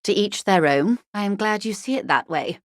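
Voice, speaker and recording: An actress playing a bourgeois corporate negotiator in a video game, polite and articulate, with a measured, drily condescending wit. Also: female voice, mid-range voice, animated, no room sound, studio quality, lightly acted. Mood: composed, businesslike. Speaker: senior labour negotiator. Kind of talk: video game dialogue voice acting.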